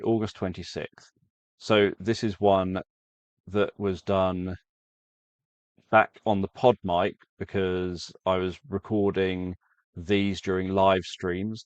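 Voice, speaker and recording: A man's voice: low voice, fairly even intonation, a little slow, no echo. A man who makes sleep stories, talking casually to viewers and rambling through his explanations. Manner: rambling, self-correcting explanation. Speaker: sleep story creator.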